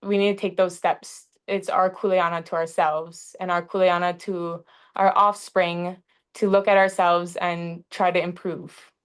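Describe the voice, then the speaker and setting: Earnest young woman with smooth voice. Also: on the low side, little pitch movement, measured pace. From Hawaii. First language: English. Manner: earnest, frequent "um" fillers. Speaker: panel facilitator. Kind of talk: reflective panel talk.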